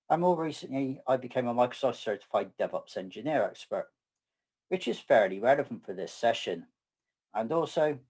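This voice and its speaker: A middle-aged man with a West Country English accent, co-presenting a technical session in a measured, slightly wry way. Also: medium pitch, a little mellow. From southwest England. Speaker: conference co-presenter. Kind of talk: scripted tech presentation.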